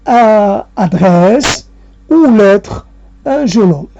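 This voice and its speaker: A woman giving a reflective spoken monologue, hesitant and pausing often as she searches for her words. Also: medium pitch, animated, mellow, no echo. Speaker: speaker addressing listeners. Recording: dull lo-fi recording.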